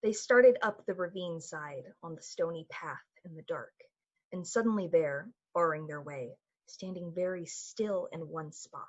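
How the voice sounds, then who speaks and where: A woman's voice: lowish voice, breathy edge, highs cut off. A woman playing the solemn storyteller of an eerie radio play, narrating a scripted tale.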